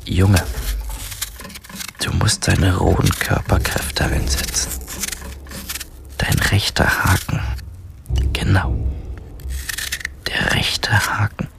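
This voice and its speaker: Male inner voice, radio drama, ominous, melodramatic, low-pitched, half-whispered. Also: flat delivery, slow pace, gravelly, pauses often, full character voice. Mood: sardonic urging.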